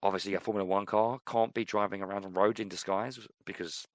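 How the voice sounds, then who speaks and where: A man's voice: on the low side, steady tone, fast talker, no echo, clean studio sound. A man co-hosting a podcast, chatting casually and rambling with many fillers about films. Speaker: podcast co-host.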